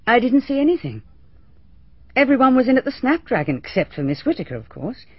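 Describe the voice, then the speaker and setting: An actress playing a composed, well-spoken village hostess who answers briskly and a little defensively in a radio drama. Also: female voice, fairly high pitch, sing-song, husky, dry recording. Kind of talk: BBC radio drama acting. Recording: dull archival radio recording.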